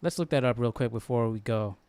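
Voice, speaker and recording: A man's voice: medium pitch, a little fast, darkish tone, clear, resonant tone, no room sound. A man hosting a live Bible study, chatting informally and thinking aloud. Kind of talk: casual livestream chat.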